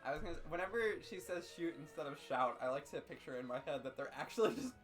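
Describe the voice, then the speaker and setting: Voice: medium pitch, animated, quick pace, soft background music. A guest commentator in casual comic banter, joking and swearing with a wry manner.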